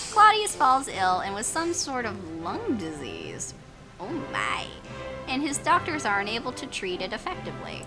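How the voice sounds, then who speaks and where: A woman hosting a let's play, reading story text aloud in a playful, expressive way with exclamations and casual asides. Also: medium pitch. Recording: music behind the voice.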